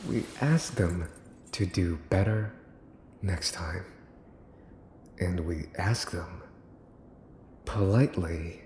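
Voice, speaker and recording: A man acts out a mafia boss's son for an ASMR roleplay, in a very low voice. He speaks slowly and deliberately, repeating himself with theatrical sarcasm and polite menace. The sound is roomy and echoing. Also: darkish tone, heavily acted. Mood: cold menace. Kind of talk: ASMR boyfriend roleplay acting. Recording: roomy echo, faint background noise.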